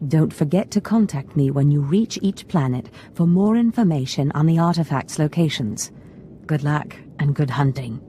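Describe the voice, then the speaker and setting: An actress playing a scheming, commanding female dark lord in a video game, composed, measured and authoritative. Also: sits low, slight background noise, natural voice. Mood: pleased, commanding. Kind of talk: video game character acting.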